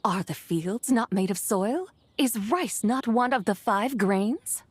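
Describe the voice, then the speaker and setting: A woman's voice: mid-range voice, lively intonation, slow pace, breathy edge, dry recording. A woman playing a proud, stern female immortal who lectures in lofty, archaic speech in a video game. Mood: proud, matter-of-fact. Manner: haughty, archaic, lecturing diction. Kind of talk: game voice acting.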